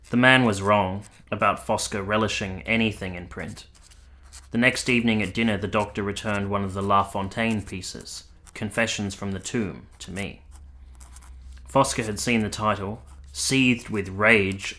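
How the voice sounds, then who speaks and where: A man's voice: fairly low pitch, sharp tone. A man playing an earnest, reserved army captain in a period radio drama, speaking formally and reflectively. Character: earnest, reserved young army captain narrating his memories. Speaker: narrator and lead character.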